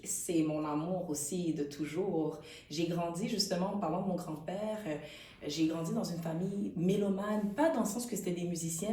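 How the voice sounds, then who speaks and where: A woman from Canada with a low voice, speaking earnestly as an interview guest, pausing and restarting as she reflects. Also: dark tone. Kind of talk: conversational interview. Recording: roomy, distant mic.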